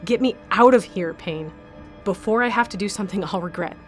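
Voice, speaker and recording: A woman's voice: medium pitch, brisk, soft background music, close to an everyday voice. A woman playing a bitter, self-preserving captive fighter in an audio drama, blunt, demanding and sardonic. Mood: cynical, desperate.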